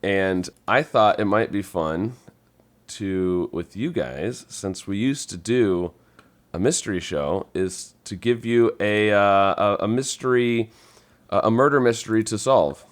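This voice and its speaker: A man's voice: on the low side, a little slow. A man hosting a podcast in a casual, informal, rambling conversational way. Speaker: podcast host. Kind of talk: casual chat.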